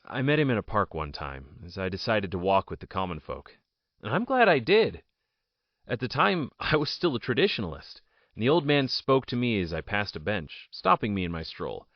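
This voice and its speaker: A slightly muffled, close and dry audio drama recording of a man acting as a fallen aristocrat politician. His lowish voice moves with lively intonation. He tells his tale proudly and bitterly, weighing his words with theatrical pauses. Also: no echo. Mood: somber, reflective. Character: ousted nobleman politician, jailed idealist, bitter and proud. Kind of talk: dramatic first-person audio drama monologue.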